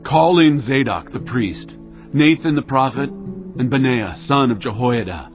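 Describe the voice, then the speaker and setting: A solemn old king declaims his commands slowly and gravely, in the style of an audio Bible drama. The sound is dull, with music playing faintly behind him. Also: mid-range voice, animated. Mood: solemn, commanding. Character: aged King David, solemn, commanding, near death.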